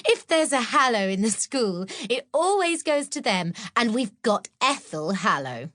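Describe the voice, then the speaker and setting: A woman's voice: a little high, sing-song, unhurried, a bit bright, dry recording, clean studio sound, voice pushed up. A woman voicing a cheerful young schoolgirl witch with a bubbly, exclamatory manner, in a children's audiobook. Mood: helpless mirth. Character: cheerful, giggly schoolgirl witch, kindly best friend. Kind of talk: children's audiobook character acting.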